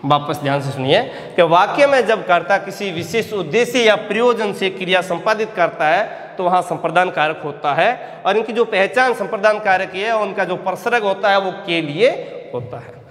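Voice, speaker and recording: A male teacher giving a grammar lesson, dictating examples slowly, repeating phrases and answering his own questions. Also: high voice, lively intonation, fairly bright tone. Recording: echoey room with long reverb.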